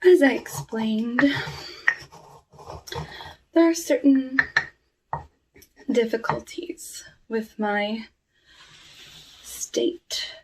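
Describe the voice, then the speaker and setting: A woman voicing a sinister, cursed flesh-eating forest witch in a hushed, breathy ASMR roleplay, often drifting into whispers. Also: medium pitch, recorded from a distance, poor audio. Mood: ominous calm. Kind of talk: ASMR roleplay, soft talking.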